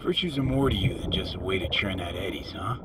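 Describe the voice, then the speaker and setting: A cocky young male street mercenary in a cinematic video game, with a lowish voice. He speaks in wry, clipped slang over clear ambient water noise. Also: animated, fast. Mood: casual.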